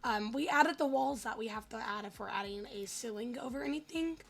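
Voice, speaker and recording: A woman with a hoarse, raspy voice that cracks in and out, giving casual, rambling, self-deprecating voice-over commentary on gameplay. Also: fairly high pitch, fairly quick. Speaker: gaming video host.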